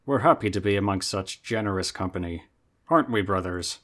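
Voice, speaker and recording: A man playing a deep, hearty dwarf. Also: brisk, fairly bright tone, natural voice. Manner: hearty, earnest. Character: deep-voiced hearty male dwarf, friendly.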